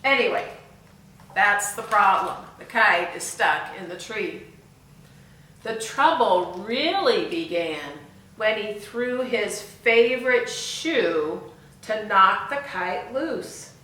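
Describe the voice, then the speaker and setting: Echoey, bright-voiced woman reading to children. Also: mid-range voice, slow. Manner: expressive, chatty asides and questions to young listeners. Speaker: volunteer storyteller. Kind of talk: read-aloud picture book storytime for children. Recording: echoey room, far from the mic.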